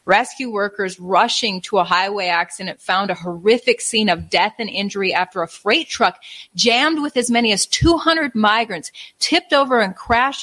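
A woman's voice: mid-range voice, a bit bright. A woman co-hosting a casual talk show, bringing up news stories and chatting with frequent fillers. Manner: conversational, with frequent fillers when speaking off the cuff. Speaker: co-host. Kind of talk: casual talk, reading a news story aloud.

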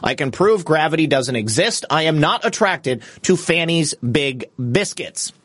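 A man's voice: fairly high pitch, lively intonation, measured pace, fairly bright tone, slightly rough, no room sound. A man hosting a political livestream, giving opinionated news commentary with casual asides in a scornful, indignant, crudely humorous manner.

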